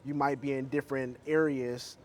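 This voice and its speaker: An American man hosts a conversational interview in a medium-pitched voice. He is reflective and affirming, working out his phrasing as he goes. A faint layer of noise sits behind him. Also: little pitch movement, moderate pace. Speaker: podcast host, interviewer.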